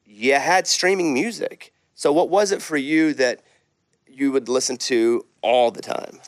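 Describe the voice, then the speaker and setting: Chatty podcast host, dry studio mic. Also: male voice, mid-range voice, a little slow, dry recording. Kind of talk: casual interview chat.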